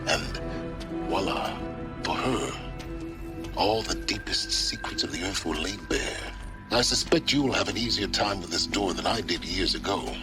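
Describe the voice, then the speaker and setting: Low-voiced, cunning older scholar. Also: male voice, animated, loud music behind the voice. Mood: reflective. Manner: measured, sardonic, eloquent. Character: cunning older male scholar, cynical, secretive, self-assured. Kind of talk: dramatic video game acting.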